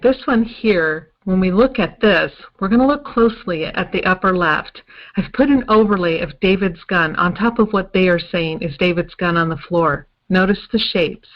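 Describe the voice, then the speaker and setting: A woman playing a methodical forensic investigator in an old time radio style detective drama, explaining evidence in a deliberate way. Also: on the low side, highs cut off, natural voice. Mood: explanatory, assured.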